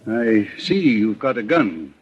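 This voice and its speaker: An actor playing a calm, shrewd detective boss in a 1940s radio crime drama, speaking in a measured, authoritative way. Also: male voice, fairly low pitch, slight background noise. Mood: accusing. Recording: dull, lo-fi old radio broadcast.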